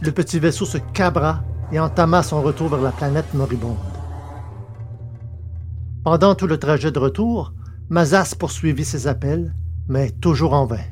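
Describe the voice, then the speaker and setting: A man narrates a scripted audio drama over a music bed. His voice is on the high side for a man, and his reading is careful and literary. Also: moderate pace.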